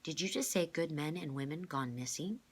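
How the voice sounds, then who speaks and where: A woman's voice: low voice, animated, normal speed, breathy edge. A woman voicing an earnest, polite, clever young boy with a questioning manner, reading from a storybook. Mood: worried. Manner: questioning, reasoning.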